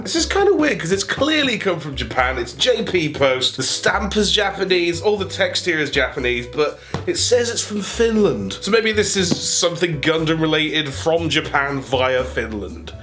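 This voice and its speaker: A man's voice: fairly high pitch, sing-song, normal speed, few pauses, a bit bright. A man with a stuffy, nasal cold, chatting jokily as he unboxes toys for his channel. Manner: chatty, jokey, self-amused. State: congested, nasally. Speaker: toy unboxing host. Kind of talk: casual unboxing chat.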